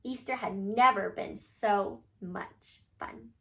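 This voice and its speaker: A woman narrating a children's picture book as an expressive read-aloud storyteller. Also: medium pitch, slow pace, gravelly.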